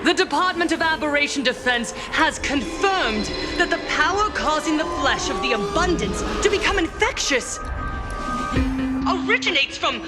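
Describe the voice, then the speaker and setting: A scheming tv announcer lady, high voice, formal and theatrical, music behind. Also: expressive, normal speed. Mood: urgent, alarmed. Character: poised, scheming female broadcaster making a grave public accusation. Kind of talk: dramatic televised public address.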